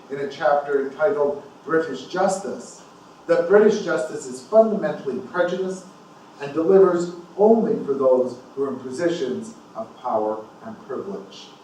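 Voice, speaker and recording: A man presenting at a conference, reading a formal academic paper aloud with measured, deliberate phrasing. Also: a little high, darkish tone. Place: indoor university conference room. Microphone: distant from the speaker. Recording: echoey room, distant mic, faint noise.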